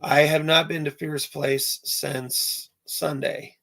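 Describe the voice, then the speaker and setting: Hoarse, gravelly man chatting on stream. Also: fairly high pitch, little pitch movement, a bit bright. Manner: rambling, many filler pauses. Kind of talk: casual live stream chat.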